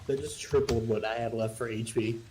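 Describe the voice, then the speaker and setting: A man's voice: medium pitch, steady tone, a little fast, background noise. A man chatting casually as a player in a tabletop game. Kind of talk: casual chat.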